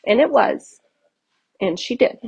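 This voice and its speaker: A woman narrating a children's storybook aloud in an expressive, careful storytelling manner. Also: fairly high pitch, takes it slowly, vocal fry, muffled recording.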